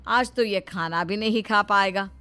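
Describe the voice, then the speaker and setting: A woman's voice: mid-range voice, measured pace, pushed and bright, clear, resonant tone. A woman voicing a strict, sarcastic middle-aged mother-in-law in a cartoon story, scolding in an exasperated way. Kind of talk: Hindi cartoon story dialogue. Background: background music.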